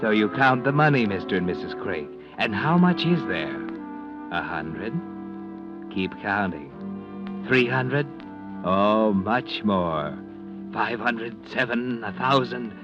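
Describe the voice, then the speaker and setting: An actor playing a sinister, mocking, all-knowing narrator in a 1940s radio mystery. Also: male voice, on the low side, expressive, takes it slowly. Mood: sinister, taunting. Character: ominous all-knowing male narrator taunting the greedy. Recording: dull vintage radio recording with music.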